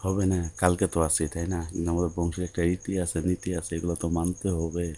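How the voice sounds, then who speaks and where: Casting: male actor as dutiful young groom, Bangladeshi accent, very deep mellow voice, placating and hesitant, audio romance drama. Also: monotone, fast talker. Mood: apologetic, reluctant. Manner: low, subdued, hesitant, placating. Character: hesitant, dutiful young bridegroom soothing his new bride. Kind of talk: Bengali audio story acted as a phone conversation.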